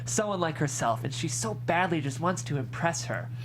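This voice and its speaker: A man's voice: a little high, very animated, moderate pace, noisy background. A man reading stage directions as scripted narration at a screenplay table read.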